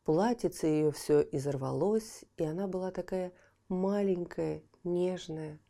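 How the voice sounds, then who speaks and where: Soft-spoken woman with a dark, mellow voice. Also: lowish voice, unhurried, creaky. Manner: gentle, expressive, often dropping to a hushed whisper. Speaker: storyteller. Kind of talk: children's audiobook narration.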